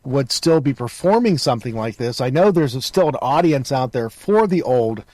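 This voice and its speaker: Male interview host, mid-range pitch, conversational, halting reflective delivery, very dry recording. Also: animated, a little mellow, no echo. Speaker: show host, radio broadcaster.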